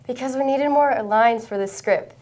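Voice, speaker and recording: A woman's voice: on the high side, moderate pace, a little mellow. A teenage girl co-hosting a student news show with a playful, teasing delivery. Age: teens.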